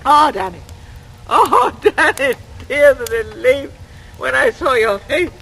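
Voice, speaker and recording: Needy old invalid woman, bright and slow-talking, fussing and coaxing, vintage radio drama. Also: high voice, animated, creaky, heavily acted. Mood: doting, grateful. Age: older. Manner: plaintive, coaxing, self-pitying. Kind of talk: 1940s radio acting. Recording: noisy vintage radio recording.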